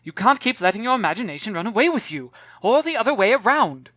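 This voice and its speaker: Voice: mid-range voice, big pitch swings, quick pace, fairly bright tone, dry recording, pitched above the performer's own voice. A narrator voicing a worried mother in a storybook, scolding her child in an exasperated, emphatic way.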